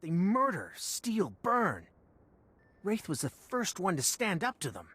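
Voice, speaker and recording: A man's voice: high voice, wildly swooping pitch, takes it slowly, bright, forceful tone, slightly rough, no echo, full character voice. A man voicing an earnest, indignant settler in a video game, speaking with urging passion. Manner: impassioned, urging.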